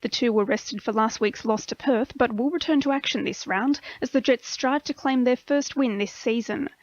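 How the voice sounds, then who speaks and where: A woman's voice: a little high, normal speed, no echo. A woman with an Australian accent reading a scripted sports bulletin on radio. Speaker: sports news reader. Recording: dull lo-fi radio audio.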